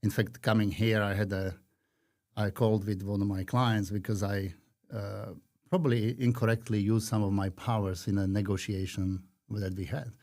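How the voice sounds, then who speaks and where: A man in his fifties from Slovakia with a Slovak accent, talking earnestly and reflectively as a podcast guest, with frequent pauses. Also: on the low side, level tone, darkish tone. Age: 50s. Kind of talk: conversational interview.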